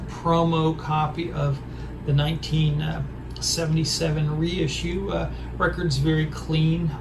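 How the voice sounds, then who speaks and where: A hoarse man with a raspy voice talks in a halting, hesitant way while showing off records he has collected. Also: fairly high pitch, background noise. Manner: halting, hesitant, full of pauses. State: hoarse from a throat injury. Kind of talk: casual record haul show-and-tell.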